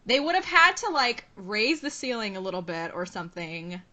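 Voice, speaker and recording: A woman co-hosting a fan podcast, eager and expressive. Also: medium pitch, moderate pace. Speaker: podcast co-host. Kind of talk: casual fan chat.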